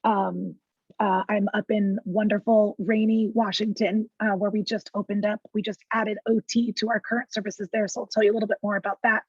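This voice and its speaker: A woman with a scratchy, hoarse voice, introducing a webinar as a clinical director with many ums and uhs. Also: mid-range voice, flat delivery, brisk, no echo, muffled recording. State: hoarse, lost voice. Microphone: video call.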